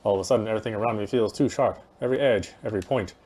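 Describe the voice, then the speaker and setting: A man's voice: lowish voice, rapid-fire, a little mellow, breathy edge. A man reading a game's story aloud as dramatic narration, as a player would. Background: game music.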